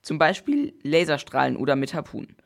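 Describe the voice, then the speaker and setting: A man's voice: a little high, very animated, a little fast, gravelly. A man reading a scripted explanatory news report as a youth radio reporter.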